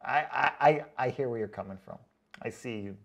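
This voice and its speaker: A man hosting a casual vlog, reading out comments in a chatty, joking way with sarcastic asides and drawn-out comic emphasis. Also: mid-range voice, gravelly. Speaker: online boot reviewer and video host.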